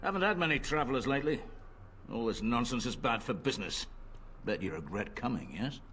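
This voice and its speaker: An actor playing a greedy, stubborn middle-aged tavern keeper in a video game, wheedling and then cowardly. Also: male voice, mid-range voice, lively intonation, brisk, fairly bright tone, a little hiss or hum, natural voice. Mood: smug. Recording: game audio with faint ambience.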